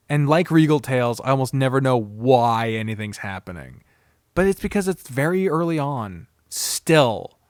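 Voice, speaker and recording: A man's voice: a little high, clean tone, dry recording, clean studio sound. A man giving a casual, unscripted video review as a streamer, rambling candidly and swearing freely. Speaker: visual novel streamer and reviewer.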